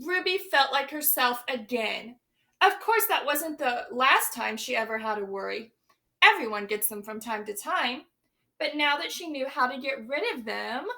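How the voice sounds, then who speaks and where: A woman's voice: high voice, vocal fry, sharp tone. A woman teacher reading a picture book aloud to young children, stopping to ask them questions and explain words.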